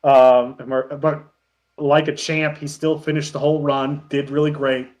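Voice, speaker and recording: A man with a somewhat high voice chats as a guest on a podcast. He tells loose anecdotes in an animated way, doubling back to correct himself and often chuckling. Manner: animated storytelling, frequent chuckling, halting self-corrections. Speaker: podcast guest, parody web series creator. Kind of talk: casual chat, anecdotes.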